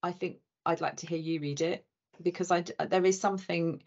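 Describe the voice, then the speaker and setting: A middle-aged woman hosts an online talk, her voice on the low side. She speaks effusively and rambles, often restarting her sentences. The call audio sounds dull and lo-fi. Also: steady tone, fairly quick, creaky. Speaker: host and interviewer. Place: indoor room with bookshelves.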